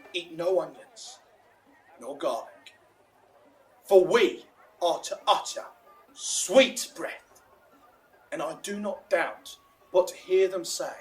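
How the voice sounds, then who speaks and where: A man playing an exuberant, self-important amateur actor in a theatrical Shakespearean audio drama, declaiming grandly and bossily. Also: high-pitched. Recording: roomy, distant mic, music behind.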